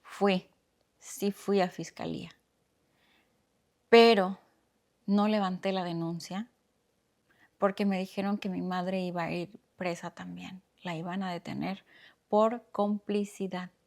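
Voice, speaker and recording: Soft-spoken Mexican woman sharing her story. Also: lowish voice, fairly even intonation, gravelly. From Oaxaca, Mexico. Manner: soft-spoken, reflective, speaks slowly with pauses. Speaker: podcast host. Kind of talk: personal storytelling monologue.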